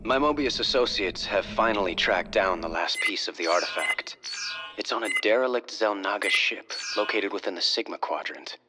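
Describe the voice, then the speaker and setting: An actor playing a refined young male heir who briefs in a measured, formal way. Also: lowish voice, music in the background, natural voice.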